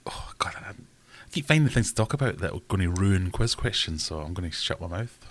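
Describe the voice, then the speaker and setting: Deep-voiced man, chatty livestream host. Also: expressive, brisk, a bit bright. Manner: rambling, self-deprecating, chatty. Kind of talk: casual live chat reading comments. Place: home.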